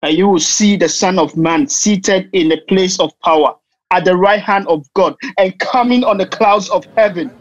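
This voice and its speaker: A woman playing a calm, unyielding preacher on trial in an audio play, measured and declarative. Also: lowish voice, no room sound, lightly acted. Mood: solemn, assured. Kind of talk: dramatic audio play acting.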